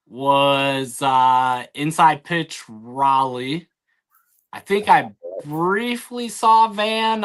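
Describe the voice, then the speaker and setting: A man answering as a guest in casual chat, hesitant and pausing often. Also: on the high side, a bit bright, clean tone. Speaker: interview guest.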